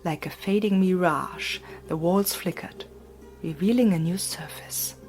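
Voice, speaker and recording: A woman reads an audiobook in a soft, breathy near-whisper, taking her time over each phrase. Her voice sits on the lower side, and faint music runs beneath her. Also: a little slow. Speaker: audiobook narrator. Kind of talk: scripted narration.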